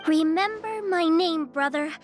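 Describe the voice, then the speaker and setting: An actress voicing a timid, frightened little girl in a video game, halting and meek. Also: very high voice. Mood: solemn. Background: background music.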